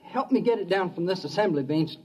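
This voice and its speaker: An actor playing a folksy, excitable machinist in a 1950s radio drama, talking informally. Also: male voice, sits high, fast talker, husky, rough recording. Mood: eager, proud. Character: folksy, excitable machinist proud of his inventions.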